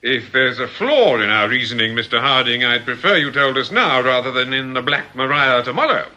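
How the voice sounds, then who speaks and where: An actor playing a suave, authoritative criminal boss in a radio drama, speaking in a measured, formal, businesslike way. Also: male voice, mid-range voice, expressive, sharp tone. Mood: formal, brisk. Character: suave, authoritative male criminal boss running a scheme like a company.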